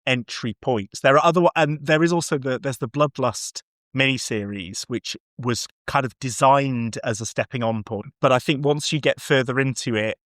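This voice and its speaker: Male podcast guest, mid-pitched, effusive and chatty, giving casual reviews on a dry recording. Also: moderate pace, a bit bright, no echo. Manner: enthusiastic, effusive.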